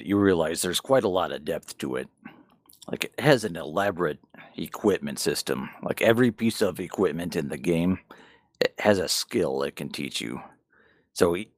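A man's voice: sits low, no room sound, clean studio sound. A man co-hosting a podcast, chatting casually and frankly about games and manga, with some swearing. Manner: profane, frank. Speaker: podcast co-host.

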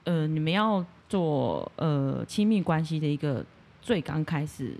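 A woman with a Taiwanese Mandarin accent and a warm, soothing voice, chatting casually as a podcast guest. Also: low voice, very animated, a little slow. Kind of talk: casual chat.

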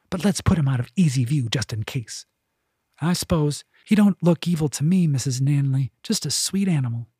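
A man's voice: a little high, mellow, husky, no room sound, clean studio sound, voice pushed up. A man voicing a chatty old woman servant who fusses and coos over a cat. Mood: cooing. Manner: fussy and cooing.

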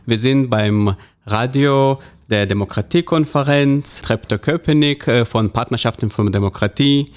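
A man moderating a radio panel, hesitant and filling pauses. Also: mid-range voice, clear, resonant tone. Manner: hesitant, with frequent fillers.

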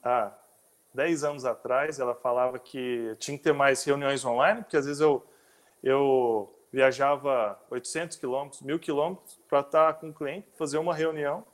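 A man hosting a casual interview, talking in a halting way with frequent restarts. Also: mid-range voice, fairly even intonation. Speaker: interview host.

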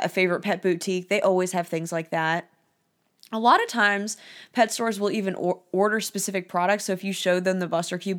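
A hoarse woman, a dog trainer, hosting a casual advice podcast and chatting with plenty of ums and uhs. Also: lowish voice.

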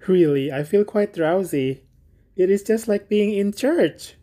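A fussy, flattering miller's wife from a storybook, as a woman reader voices her. She gushes in a somewhat raised voice over a mellow base. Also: medium pitch, unhurried, voice pushed up. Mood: gushing.

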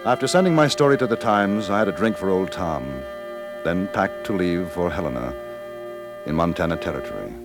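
An actor playing a composed, courteous but firm British gentleman adventurer in a 1950s radio drama, speaking formally. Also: low voice, expressive, loud music behind the voice. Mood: somber, measured. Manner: polite, measured, formal.

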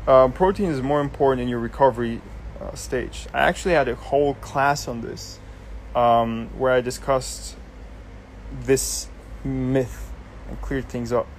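A man's voice: medium pitch, a little slow, slight background noise, poor audio. A young man from Germany, hosting a casual live Q&A and explaining things loosely with many ums and restarts. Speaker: host. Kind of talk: casual live Q&A, answering viewer questions.

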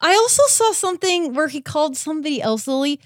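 A woman's voice: high-pitched, expressive, fast, no room sound. Casual woman podcast co-host, dry sound. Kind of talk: casual chat.

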